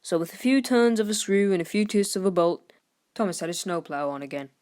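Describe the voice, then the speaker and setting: A woman with a mid-pitched voice narrates a children's storybook. She reads the scripted text expressively, as one would to young listeners. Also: fairly quick. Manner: expressive, lifts voice for character dialogue. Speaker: storybook reader.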